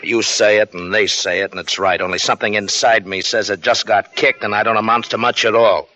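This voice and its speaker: An actor playing a jobless husband in a 1940s radio drama, bitter and sullen, with sudden flashes of anger. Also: male voice, on the low side, fairly even intonation, a little fast, pushed and bright. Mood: brooding. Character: jobless husband, brooding and touchy. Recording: dull old radio broadcast with faint hiss.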